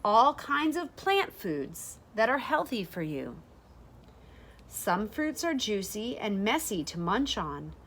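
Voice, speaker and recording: A woman in her thirties reading a rhyming children's picture book aloud in a deliberate, expressive way, pausing to explain. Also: mid-range voice, a little hiss or hum. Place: small sunroom with large windows, indoors.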